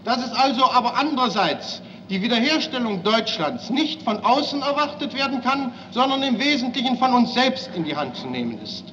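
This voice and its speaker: A woman's voice: on the high side, lively intonation, a little fast, few pauses, fairly bright tone. A woman voicing an impassioned postwar parliamentarian who argues emphatically in formal political oratory, on a dull lo-fi recording. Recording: dull lo-fi, faint noise.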